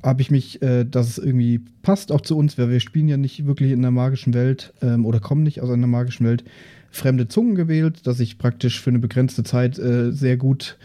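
A man casually introducing his tabletop role-playing character in a halting, informal way. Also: mid-range voice, steady tone, warm tone. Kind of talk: casual character introduction on an actual-play podcast.

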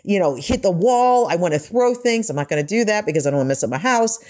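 A woman's voice: mid-range voice, animated, fast talker, a little mellow. A woman hosting a podcast in an emphatic, effusive conversational way. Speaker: guest podcast host.

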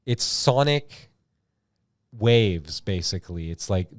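A man's voice: lowish voice, slow, no echo, studio quality. A man hosting a casual chat show, telling stories in a rambling, slangy way and swearing freely. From Iran. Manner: animated slangy storytelling with frequent "like" and swearing. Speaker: host of a YouTube show.

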